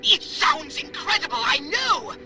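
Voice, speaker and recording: A man voicing a pompous, excitable alien commander in a video game, speaking in a grandiose, theatrical, wordy way. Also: extremely high pitch, a little slow, music in the background, heavily acted, rough recording. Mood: fervent. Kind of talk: video game cutscene acting.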